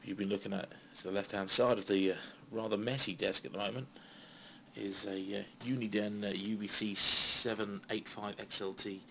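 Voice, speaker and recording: A British man talks in a low, creaky voice, giving an informal show-and-tell in a halting, understated way. The sound is a dull phone recording with faint noise behind him. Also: little pitch movement. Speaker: CB radio hobbyist giving a tour of equipment. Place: small radio room at home. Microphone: handheld phone.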